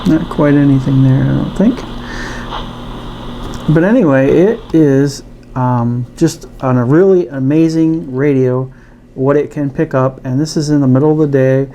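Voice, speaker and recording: Mellow-voiced man giving a casual demo. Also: medium pitch, a little slow, a little hiss or hum, rough recording. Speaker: radio reviewer. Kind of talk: casual product demonstration.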